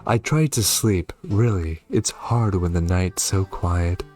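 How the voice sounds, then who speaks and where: A man's voice: low-pitched, slow pace, a little mellow, soft background music. A man voicing a small, sweet, pleading young boy from a game, in a childlike, plaintive way. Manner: childlike, plaintive storybook read-aloud.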